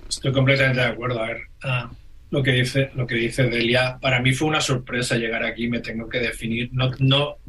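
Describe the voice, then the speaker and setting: A man's voice: medium pitch, flat delivery, brisk, fairly bright tone, rough recording. A man from Catalonia whose first language is Catalan, a guest speaking thoughtfully on a radio panel. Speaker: teacher trainer, guest.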